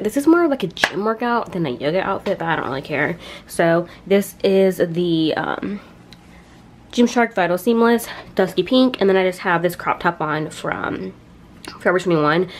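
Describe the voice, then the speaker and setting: A young woman chatting casually to camera in a small dorm room, animated and quick with asides. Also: mid-range voice, slight background noise. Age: young adult. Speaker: vlogger. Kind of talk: casual vlog chat to camera. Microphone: handheld camera close up.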